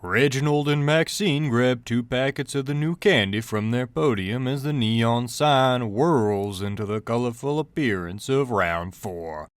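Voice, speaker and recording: A man's voice: mid-range voice, a little slow, hardly any pauses, clean tone, dry recording. Casting: male audio drama narrator, mid-range voice, dry studio sound, scripted delivery.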